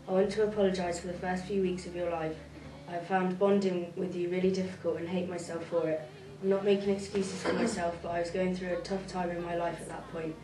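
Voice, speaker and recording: A woman's voice: fairly low pitch, level tone, brisk, few pauses. A young single mother reading a sincere, tender letter aloud. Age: young adult. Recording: roomy, distant.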